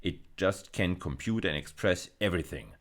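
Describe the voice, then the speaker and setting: A man lecturing in an explanatory style, pausing and repeating words as he goes, with emphatic stresses. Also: low-pitched, lively intonation. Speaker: university lecturer.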